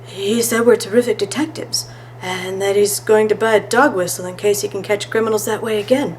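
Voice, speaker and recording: A woman's voice: mid-range voice, steady tone, fairly quick, a little mellow, natural voice, low-quality recording. A woman reading the part of an eager, puzzled boy detective in a children's storybook, with some background noise. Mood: flustered, eager.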